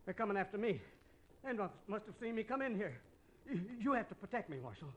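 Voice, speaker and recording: An actor playing a scheming, cowardly American banker with an oily, evasive manner in an old radio western. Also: male voice, high-pitched, very animated, quick pace. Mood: panicked. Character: smooth, scheming, cowardly banker, secret male boss. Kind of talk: 1950s radio western acting.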